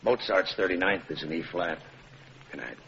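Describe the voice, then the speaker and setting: Deep-voiced, hard-boiled police lieutenant. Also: male voice, normal speed, gravelly. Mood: cool, terse. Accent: American. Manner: terse, deadpan, clipped. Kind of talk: 1940s-50s radio noir acting and narration. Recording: muffled, noisy old radio recording.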